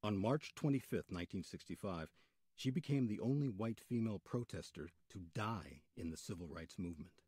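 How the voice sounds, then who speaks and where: A man narrating a scripted radio documentary as its host. His voice is lowish and husky, with lively intonation and deliberate dramatic emphasis. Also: no echo, clean studio sound.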